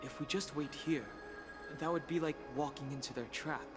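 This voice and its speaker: A man's voice: on the high side, fairly even intonation, moderate pace, lightly acted. An actor playing a cool, formal, reserved man in a video game, with a precise, dry, disapproving delivery. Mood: measured, serious. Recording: game music behind the voice.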